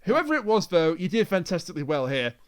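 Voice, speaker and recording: A man co-hosts a podcast with a noticeably high voice for a man. His tone is clean and smooth, and he talks in a casual, conversational way. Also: very animated, quick pace. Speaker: podcast co-host reviewing an audio drama. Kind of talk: casual chat.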